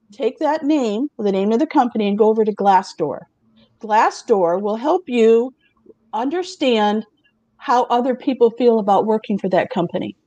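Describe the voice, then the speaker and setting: A middle-aged woman hosting a casual talk show, chatty and quick to laugh as she presents remote job openings and asks the others questions. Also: a little high, mellow, dry recording.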